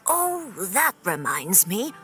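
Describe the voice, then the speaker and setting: A kindly, anxious village woman from a video game, earnest and deferential. Her medium-pitched voice moves up and down expressively over clear background music. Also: big pitch swings, takes it slowly, pushed and bright, full character voice. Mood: helpful. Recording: music under the voice.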